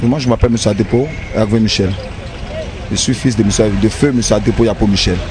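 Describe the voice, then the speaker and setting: A man's voice: on the low side, fairly even intonation, quick pace, a crowd in the background. A man with an Ivorian accent giving an emphatic, repetitive street interview outdoors. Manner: emphatic, repeats phrases. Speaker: aggrieved resident interviewed on the street. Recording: noisy outdoor street with traffic.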